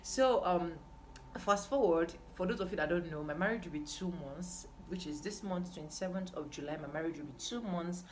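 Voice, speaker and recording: A woman's voice: on the low side, normal speed, darkish tone, a little hiss or hum. A young Nigerian woman chatting casually as a vlogger, emphatic and repeating phrases for stress. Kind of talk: casual chat to camera.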